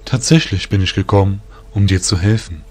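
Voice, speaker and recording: A man voicing a calm, wise male faun spirit who lectures serenely, heard from a distance. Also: low voice, fairly quick, husky, voice pushed down. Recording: distant voice over music.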